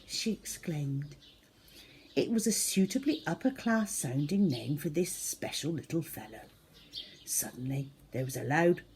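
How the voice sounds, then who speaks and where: A woman reading a children's book aloud as a storyteller, in an expressive, theatrical manner. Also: low-pitched, vocal fry, slight background noise. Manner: animated, theatrical, with acted-out sound effects.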